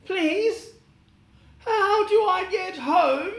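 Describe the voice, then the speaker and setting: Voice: very high voice, very slow, fairly bright tone, roomy sound, voice pushed up, heavily acted. A storyteller doing a polite hopeful young girl. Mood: pleading.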